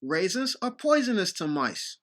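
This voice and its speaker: A woman's voice: medium pitch, big pitch swings, normal speed, natural voice. A woman playing an exasperated, stern human father in an audio drama, scolding and emphatic. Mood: angry.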